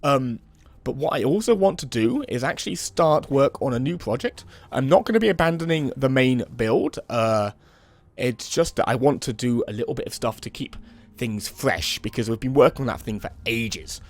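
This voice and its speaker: A young man with a slightly hoarse voice from a cold, giving relaxed, rambling commentary while playing a building game. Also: mid-range voice, normal speed. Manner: relaxed, rambling, many "um" and "kind of". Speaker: let's play host. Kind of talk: casual gameplay commentary.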